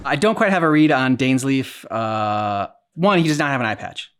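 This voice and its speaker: Man casually thinking aloud, medium pitch. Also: animated, normal speed, fairly bright tone, clean tone. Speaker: co-host of a game reaction channel. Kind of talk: casual discussion and commentary.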